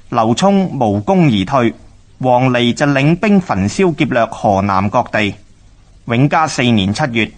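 A male narrator of a historical radio programme, with a Hong Kong accent. His voice is mid-pitched, and he delivers scripted exposition formally.

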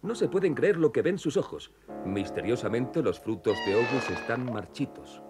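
A man's voice: medium pitch, very animated, moderate pace. A man narrating a children's cartoon in a playful storytelling manner, with music behind.

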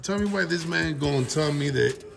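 A man's voice: a little high, moderate pace, creaky, crowd noise. A man in his thirties chatting casually and emphatically in slang as a vlog host, repeating himself and calling people bro. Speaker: YouTube car channel host. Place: open-fronted metal garage workshop. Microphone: handheld phone camera nearby.

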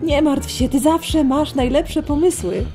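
A woman's voice: high voice, a little slow, warm tone. A woman voicing a clever, encouraging young daughter in a children's audio drama. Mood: encouraging, curious. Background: music.

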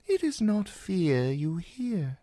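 A narrator voicing a frail, blind, very old male maester who speaks softly and wisely. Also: high voice, sing-song, no echo, pitched above the performer's own voice, full character voice. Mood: gentle. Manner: soft, slow, hushed speech. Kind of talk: audiobook character voice.

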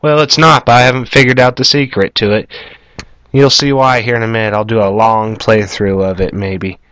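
A man's voice: fairly low pitch, fairly even intonation, normal speed, a bit bright, clear, resonant tone. A man giving casual, rambling gameplay commentary with crude language, recorded indoors in a small trailer on a camera aimed at the screen. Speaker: gamer, video host.

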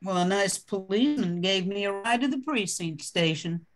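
A woman's voice: medium pitch, expressive, moderate pace, close to an everyday voice. An older woman playing a secretive, easily flustered widowed mother in an amateur radio play, with a hesitant manner. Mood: hushed, uneasy. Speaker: amateur radio drama performer. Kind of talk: old-time radio play acting.

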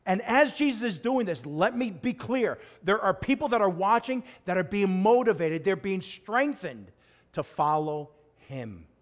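A middle-aged man preaches from a church pulpit, his voice climbing high and falling low. His delivery is expressive and animated, with loud emphatic peaks and hushed low passages. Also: measured pace. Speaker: pastor. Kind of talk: sermon.

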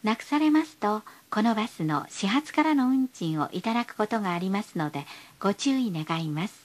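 A woman's voice: medium pitch, expressive, brisk. A woman reading scripted bus stop announcements in a polite, formal, carefully enunciated way.

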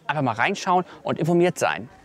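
A man's voice: fairly high pitch, very animated, brisk. A young man presenting a scripted promotional piece to an online audience. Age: young adult.

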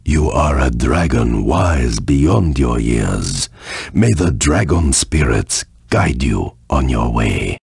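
A wise old dragon sensei for a video game. The voice is very low, crackling with gravel, and rises and falls in a slow, ceremonious way. He sounds solemn and slightly bumbling. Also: lively intonation, darkish tone, no room sound, studio quality, full character voice. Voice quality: gravelly, husky. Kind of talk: video game character dialogue.

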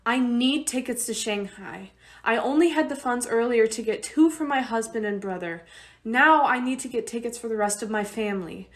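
A woman's voice: fairly high pitch, normal speed, lightly acted. A woman acting a determined, anxious young refugee mother in a radio play, speaking earnestly. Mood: anxious, pleading.

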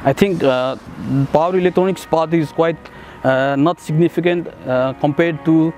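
A young man from Nepal, a master's student, talking casually about his studies with many hesitant fillers and soft chuckles, recorded on a lapel mic. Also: a little high, normal speed, soft background music. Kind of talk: casual testimonial interview. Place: outdoors on a city riverside path and in a bright building.

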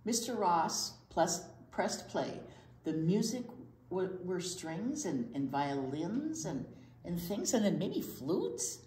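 Older woman reading aloud, lively but unhurried. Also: lowish voice. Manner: expressive, often dropping to a hushed near-whisper. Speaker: children's library storytime reader. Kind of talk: picture book read-aloud with asides to children. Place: indoor children's library storytime corner. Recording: roomy echo, faint background noise.

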